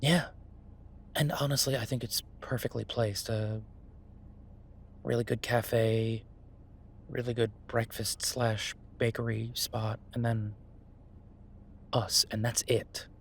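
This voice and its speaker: A man playing an earnest, easygoing chef in a roleplay audio drama, talking haltingly and reassuringly to a partner. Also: mid-range voice, level tone, a bit bright, a little hiss or hum. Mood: casual, enthusiastic. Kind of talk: roleplay audio drama, conversational monologue to listener. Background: ambient sound effects.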